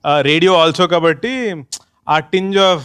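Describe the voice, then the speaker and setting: A man's voice: on the high side, fairly even intonation, very slow, fairly bright tone, clean tone. A man with a Telugu accent telling anecdotes casually in a group interview, mixing in English phrases. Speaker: film team member being interviewed.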